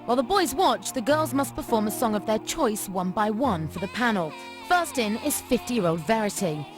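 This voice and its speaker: A woman's voice: fairly high pitch, lively intonation, normal speed. Woman narrating a reality show. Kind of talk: scripted TV voice-over. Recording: music under voice-over.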